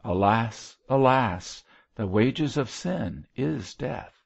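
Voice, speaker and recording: A man voicing a young, gentlemanly parson in an audiobook, speaking formally and piously with a nervous, faltering earnestness. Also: fairly low pitch, big pitch swings, a little slow, creaky, breathy edge, highs cut off, heavily acted. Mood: pious, imploring.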